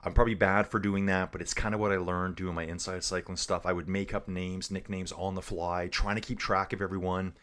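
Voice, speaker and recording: A man giving relaxed livestream cycling commentary, reading viewers' chat aloud and calling them guys and dude. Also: low-pitched, brisk, few pauses. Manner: chatty, says "guys" and "dude" often. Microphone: earbuds.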